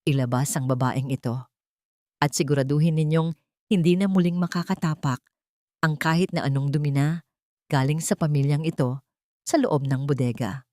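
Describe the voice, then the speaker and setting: A woman's voice: sits low, normal speed, pauses often, dark tone, dry recording, studio quality, lightly acted. A woman with a Filipino accent voicing a commanding, secretive man with an authoritative, dangerous manner in an audio story. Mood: cold, commanding. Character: commanding, secretive man, a powerful chairman in hiding.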